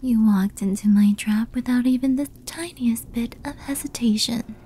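A woman voicing a seductive, menacing female demon who toys with her prey in a teasing, breathy ASMR roleplay whisper. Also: fairly high pitch, normal speed, dark tone. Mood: predatory, seductive.

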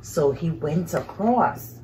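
A woman's voice: low-pitched, lively intonation, slow, warm tone, slight background noise, poor audio. A middle-aged woman telling a picture-book story to young children, expressively, with exclamations and questions. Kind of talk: children's picture book read-aloud, improvised narration with questions. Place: small indoor living room, seated on a couch.

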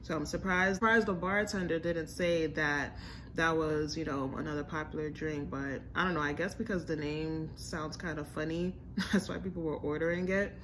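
A woman's voice: deep voice, steady tone, normal speed, a bit bright, a little hiss or hum, poor audio. A young woman with an American accent talking casually and informally to camera as a food vlogger. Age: young adult. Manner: informal, chatty, slangy. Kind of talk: casual chat, restaurant review. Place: indoors in a home room with a staircase.

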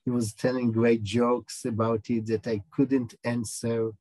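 A middle-aged man with an Israeli accent, a film director answering questions over a video call, halting and searching for words. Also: mid-range voice, little pitch movement, dark tone, no echo. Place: small room indoors.

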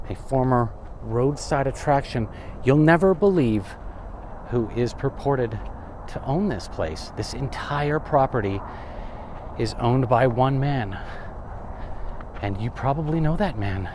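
A man in his thirties talks his way through an outdoor walking tour, his pitch bouncing up and down with every remark. He takes his time, unhurried and slow, tossing in wry asides and questions to himself. There's a faint hiss of open-air noise behind him on a handheld mic. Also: lively intonation, a little mellow. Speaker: travel vlogger. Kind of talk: casual walking tour narration. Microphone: camera or phone mic, handheld.

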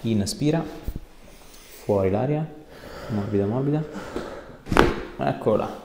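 A man's voice: medium pitch, unhurried. A man, a chiropractor casually instructing and reassuring a patient. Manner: reassuring, informal. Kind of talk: casual hands-on instruction to a patient during treatment. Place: small treatment room, indoors. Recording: echoey small room, background noise.

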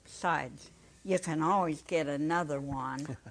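A woman's voice: low-pitched, unhurried, vocal fry, heavily acted. An elderly woman voicing a rich, lazy, whining mill owner's wife who haggles insistently in a story reading. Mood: haggling, indignant.

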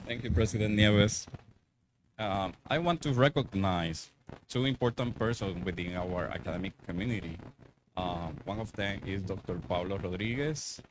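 Low-voiced man giving formal podium remarks. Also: moderate pace, noisy background. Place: indoor university event stage. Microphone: podium microphone on a stand.

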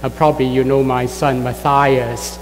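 Middle-aged Chinese-accented guest pastor, man, halting and filler-heavy, in a reverberant church with faint music. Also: mid-range voice, level tone. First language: Fujian (Min Chinese). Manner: halting, many "uh" fillers, chuckles at himself. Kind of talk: sermon introduction to a congregation. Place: church sanctuary.

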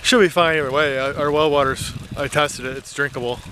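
A man's voice: a little high, steady tone, brisk, background noise. A man in his thirties talking easily to a handheld camera at arm's length, thinking aloud inside a greenhouse on a snowy farm. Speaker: homesteading vlogger. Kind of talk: casual vlog talk to camera.

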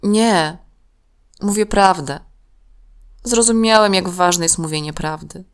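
A woman's voice: lowish voice, takes it slowly, clean tone. A woman voicing a remorseful, pleading young boy in a children's story. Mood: earnest. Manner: pleading, earnest. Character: remorseful young boy confessing his lies to friends.

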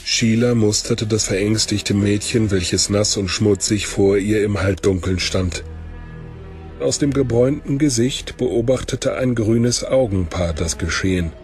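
A man narrates a fantasy audio drama from a script. His voice is fairly low, with music playing softly underneath.

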